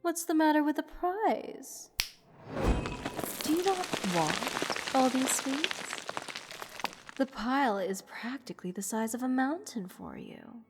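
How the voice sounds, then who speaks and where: A woman playing a haughty, playful goddess who teases a captive mortal in an unhurried, condescending manner, in a roleplay audio drama. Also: high voice, sing-song, heavily acted. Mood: taunting. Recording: long hall reverb. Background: music.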